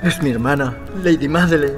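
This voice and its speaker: An actor playing a troubled, morbid, reclusive aristocrat in a gothic audio drama, intense, formal and theatrical. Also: male voice, on the high side, brisk, loud music behind the voice, close to an everyday voice. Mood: agitated.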